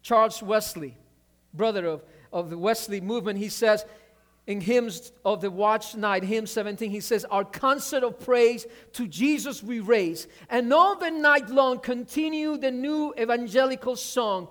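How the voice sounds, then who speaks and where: A man's voice: very high-pitched, unhurried. A man whose first language is Spanish, preaching a sermon with energy, often restarting words and asking for an amen. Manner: animated preaching, repeats words, asks amen. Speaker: pastor.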